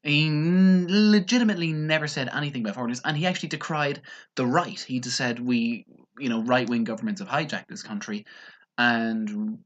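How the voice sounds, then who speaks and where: A man's voice: medium pitch, fairly quick. Man giving sarcastic commentary, dull sound. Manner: sarcastic, mocking, mimics others. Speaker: commentator reacting to a TV clip. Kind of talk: casual opinion commentary.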